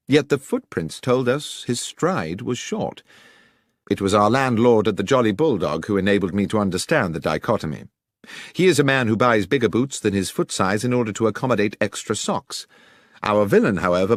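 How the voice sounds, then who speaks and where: A man's voice: on the low side, dry recording, studio quality. A man voicing a confident, theatrical detective in an audiobook, with a precise, declamatory and assured delivery. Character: confident, theatrical male detective explaining his deductions.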